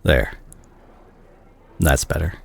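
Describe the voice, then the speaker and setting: An actor playing a remorseful, caring doctor and ex-boyfriend in an intimate audio roleplay, speaking to the listener in a halting, confessional way. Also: male voice, rumbling low, creaky, breathy edge, exaggerated voice. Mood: tender, wistful.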